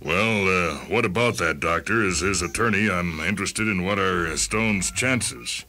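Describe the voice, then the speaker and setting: An actor playing a reasonable, measured lawyer in a radio drama, deliberate and formal. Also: male voice, deep voice, pushed and bright, faint music behind, exaggerated voice. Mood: reasoned.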